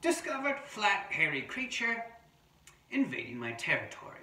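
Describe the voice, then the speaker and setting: A man voicing a sleepy, demanding wombat in an attempted Australian accent, reading its diary from a picture book in short deadpan statements. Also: high-pitched, moderate pace, pitched above the performer's own voice, exaggerated voice. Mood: demanding, pleased. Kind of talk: children's picture book read-aloud. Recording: roomy, distant mic, faint background noise.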